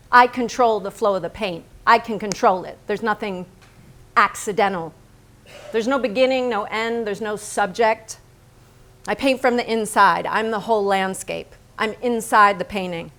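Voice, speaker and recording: A woman acting in a staged reading as a blunt, self-assured American male painter, speaking in deliberate, declarative phrases. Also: medium pitch, a bit bright. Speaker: stage actor.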